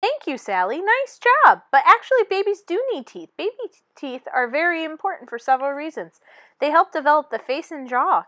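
A woman's voice: sits high, very animated, fairly quick, bright, forceful tone, heavily acted. A woman voicing an encouraging dentist teacher who explains things to a class in a storybook. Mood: encouraging, correcting. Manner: instructive classroom delivery.

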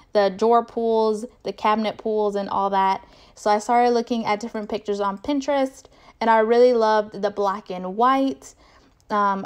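A woman's voice: a little high, smooth. A woman vlogger giving a chatty, informal voice-over narration.

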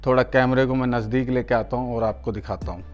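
A man's voice: mid-range voice, steady tone, quick pace, lo-fi sound, soft background music. An Indian man hosting a product unboxing and demonstration, explaining things step by step to viewers, often repeating his points and addressing them in a friendly, familiar way. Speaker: product reviewer and channel host.

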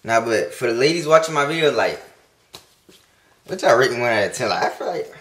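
A man's voice: medium pitch, expressive, fairly quick, a bit bright. A young man, talking casually to his phone camera outdoors in a rambling, slangy, encouraging way. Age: young adult. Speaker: vlogger. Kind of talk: casual vlog talk to camera.

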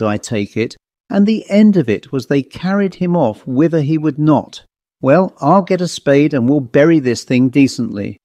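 A man voicing a brusque, excitable older country squire who speaks in sharp exclamations. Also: fairly high pitch, no room sound.

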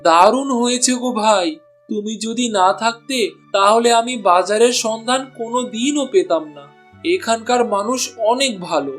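A man's voice: very high voice, moderate pace. A man voicing an honest, humble village butcher in a cartoon, with an earnest and plaintive way of talking. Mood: grateful. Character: honest, downtrodden village meat seller, a husband. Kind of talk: Bengali cartoon dialogue. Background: soft music.

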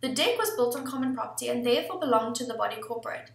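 A woman in her thirties presenting a scripted legal explainer in a steady, formal reading manner, recorded at a desk webcam in an office. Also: a little high, very fast, gravelly, far from the mic. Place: office room indoors. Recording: echoey room, voice somewhat off-mic.

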